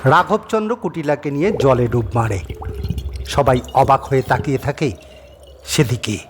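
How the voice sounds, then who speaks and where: A man narrating a scripted horror story dramatically, with suspenseful pauses, over atmospheric ambience. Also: mid-range voice. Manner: suspenseful, theatrical phrasing with pauses. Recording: faint background noise and ambience.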